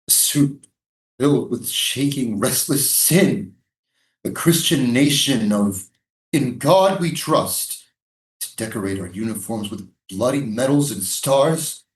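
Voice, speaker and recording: A man acting a guilt-choked, raging prison guard in an anguished, declamatory stage monologue. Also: on the high side. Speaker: actor in a staged reading. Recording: echoey room, distant mic.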